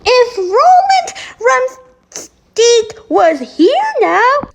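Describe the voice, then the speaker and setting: A man's voice: very high voice, very animated, drawn-out words, vocal fry, slight background noise, voice pushed up, full character voice. A man doing a squealing silly woodpecker girl. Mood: mischievous. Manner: shouting, giggly. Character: silly female woodpecker, loud and giggly. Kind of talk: storybook voice acting.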